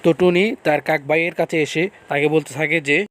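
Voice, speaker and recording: A man's voice: a little high, fairly even intonation, normal speed, fairly bright tone. A man narrating a cartoon folk tale in a simple, repetitive storytelling manner.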